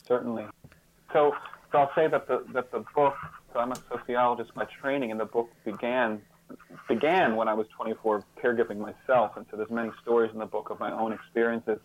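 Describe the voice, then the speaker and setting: A man speaking as an interview guest, explaining in a halting way, repeating words and stressing key terms. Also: mid-range voice, steady tone, phone-quality sound. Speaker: interview guest, author and sociologist.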